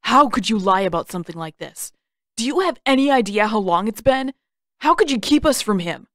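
A woman's voice: a little high, animated, fairly quick, dry recording, studio quality, lightly acted. A woman voicing a bitter, accusing teenage boy with a cold, brittle manner in an audiobook story. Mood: furious. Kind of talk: audiobook character acting.

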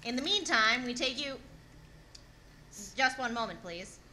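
A woman's voice: on the high side, lively intonation, sharp tone, a little hiss or hum. A woman playing a formal, grave radio news announcer reading bulletins in a 1930s radio drama. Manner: formal, measured delivery.

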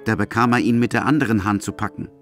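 A man's voice: on the low side, fairly even intonation, fast talker, fairly bright tone, soft background music. A man narrating a literary audio drama from a script.

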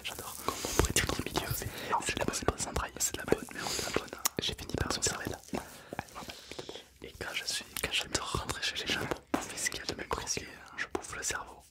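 Whispering young man playing a gleeful ghoul. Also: quick pace. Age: 20s. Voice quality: breathy whisper. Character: gleefully gruesome brain-eating male zombie and psychopath. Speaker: ASMR roleplay performer. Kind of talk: whispered ASMR Halloween roleplay.

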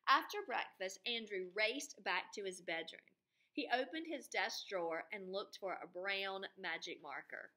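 A woman's voice: mid-range voice, measured pace. A woman in her thirties or forties reading to children as a teacher, expressively explaining and posing questions. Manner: expressive, asks the listeners questions. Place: small classroom or school room, indoors.

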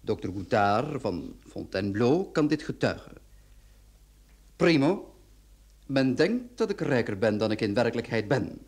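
A man playing a brisk, fussy notary in an old Dutch radio play, speaking formally and deliberately. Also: medium pitch, big pitch swings, darkish tone. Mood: formal, solemn. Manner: formal, deliberate, shushing interruptions. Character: brisk, fussy male notary reading out a will.

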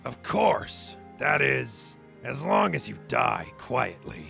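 Gravelly, slow, cruel monster pirate villain. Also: male voice, medium pitch, sing-song, exaggerated voice. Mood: cold menace. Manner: slow, menacing, sneering. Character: cruel, contemptuous male fish-man pirate villain. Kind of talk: manga voice-over acting. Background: music behind the voice.

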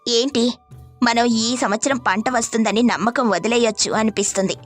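A woman voicing a worried, pleading farmer's wife in an animated village tale. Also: on the high side, normal speed, natural voice. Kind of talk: animated moral story dialogue. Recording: music under the voice.

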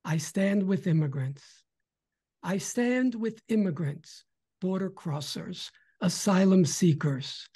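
An older man in his sixties reads poetry with emphasis at a slow pace. His voice is soft-edged and husky, heard over a video call from home. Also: high voice. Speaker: poet. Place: small home bedroom. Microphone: computer mic on a video call.

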